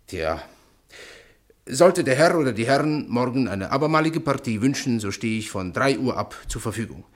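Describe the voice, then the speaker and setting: An actor playing an aloof, condescending chess champion in a radio drama, with a stiff, formal manner. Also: male voice, medium pitch, expressive, normal speed, lightly acted.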